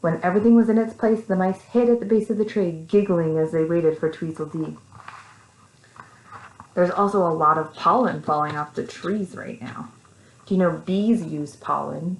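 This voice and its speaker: A woman with an American accent reading a story to young children, animated, with simple casual asides. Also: sits low, gravelly, a little hiss or hum. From Texas, United States. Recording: echoey room.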